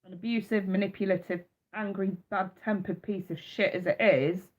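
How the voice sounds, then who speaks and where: A woman's voice: mid-range voice, steady tone, normal speed, muffled recording. A woman with a stuffy nose from a cold, giving a blunt, fired-up live tarot reading and swearing freely. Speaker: tarot reader.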